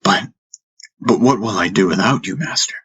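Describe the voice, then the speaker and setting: A man's voice: on the low side, lively intonation, normal speed, fairly bright tone, breathy edge. A man voicing a troubled, confused warrior, humble before his master, who speaks hesitantly and pleadingly in a fantasy audiobook. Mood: apologetic, pleading.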